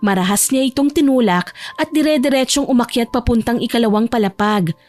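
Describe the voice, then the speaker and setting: High-pitched woman narrating over music. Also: a little fast, a little mellow. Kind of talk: scripted storytelling narration of romance fiction. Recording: music bed behind the voice.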